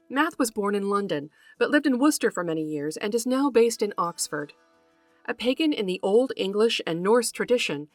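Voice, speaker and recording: A woman's voice: medium pitch, no room sound. Woman hosting a podcast, reading from a script, careful wording, really dry close sound, bit of music. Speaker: podcast host. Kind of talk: scripted narration, introducing a guest.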